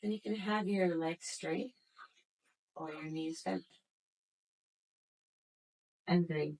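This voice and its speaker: Gentle, slow-paced female yoga instructor. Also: lowish voice, rough recording. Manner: slow, gentle, soothing cues. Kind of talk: guided exercise instruction.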